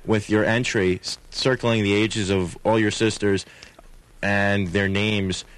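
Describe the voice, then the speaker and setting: A man's voice: on the low side, level tone, slow, fairly bright tone, rough recording. A young man from Queens, co-hosting a college radio call-in show and teasing callers with jokes. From Queens, New York. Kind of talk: joking call-in radio banter.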